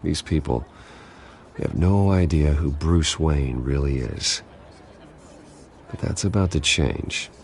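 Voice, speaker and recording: An actor playing a brooding, composed wealthy man with a secret in a video game, calm and understated. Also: extremely low pitch, takes it slowly, vocal fry, long pauses, slight background noise, exaggerated voice. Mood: calm, guarded.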